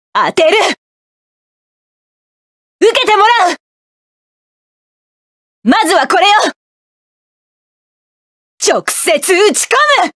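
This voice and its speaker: A fierce young mech pilot. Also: female voice, very high-pitched, no room sound, studio quality, exaggerated voice. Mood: cool. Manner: forceful battle shouts and clipped commands. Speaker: voice actress. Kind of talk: game voice clips.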